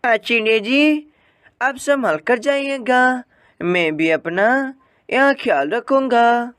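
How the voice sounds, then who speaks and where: A man voicing a gentle, polite, hard-working female bird in a cartoon fable. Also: very high-pitched, takes it slowly, smooth, dry recording, clean studio sound, pitched above the performer's own voice, heavily acted.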